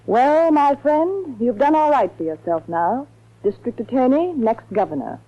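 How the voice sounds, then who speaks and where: Brazen young blackmailer, muffled radio sound. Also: female voice, high voice, lively intonation, a little slow, warm tone, heavily acted. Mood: mocking. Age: young adult. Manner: taunting, self-assured, sardonic. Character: brazen, cool young female blackmailer, mocking and hard. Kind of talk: 1940s radio acting. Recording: muffled vintage radio broadcast.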